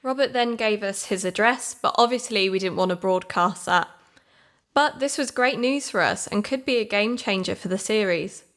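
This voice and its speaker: A woman's voice: mid-range voice, normal speed, a bit bright, natural voice. A British woman playing an earnest podcast investigator, giving scripted, explanatory narration in an audio drama. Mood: pleased, earnest.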